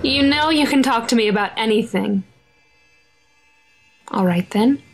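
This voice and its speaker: A woman's voice: fairly high pitch, a little slow, soft background music, rough recording. An actress playing a protective, fretting mother of a schoolboy in an audio drama, with a nagging, anxious manner. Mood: affectionate.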